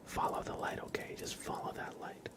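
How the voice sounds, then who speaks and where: A man hosting an ASMR livestream in a breathy whisper, speaking gently with playful mock-seriousness as he acts out little roleplays. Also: fairly quick. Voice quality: breathy whisper, mostly unvoiced.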